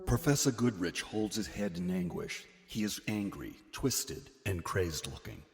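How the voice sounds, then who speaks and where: A man's voice: sits low, lively intonation, unhurried. A man giving ominous, theatrical scripted narration for a horror drama. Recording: long echoing reverb, music behind.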